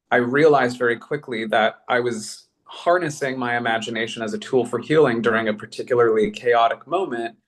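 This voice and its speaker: An American man with a medium-pitched voice talks as an artist reflecting on his work. He is earnest and articulate, choosing slightly lofty words in a conversational panel setting. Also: fairly bright tone. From Colorado, United States. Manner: earnest, articulate, elevated wording. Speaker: podcast creator and artist.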